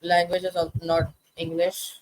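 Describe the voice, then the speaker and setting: A man whose first language is Urdu, hosting an interview and asking questions in slow, simple phrasing. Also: high-pitched, monotone, dry recording, low-quality recording. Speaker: interview host.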